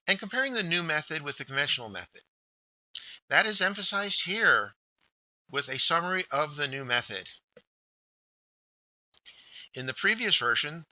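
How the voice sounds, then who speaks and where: A man's voice: on the high side, bright, forceful tone, dry recording, poor audio. A man giving scripted instructional narration as a workshop instructor, guiding learners step by step and addressing them directly.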